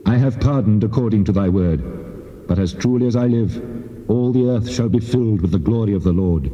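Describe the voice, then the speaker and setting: A man's voice: on the low side, fairly quick, dark tone, natural voice. A man playing a wrathful, majestic God in a dramatized scripture recording, speaking in solemn declamation. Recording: muffled, long cavernous reverb.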